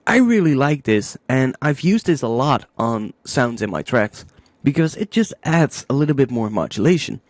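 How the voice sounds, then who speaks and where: A man narrating a music software tutorial step by step, informally thinking aloud into a close microphone. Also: medium pitch, big pitch swings, moderate pace, no echo.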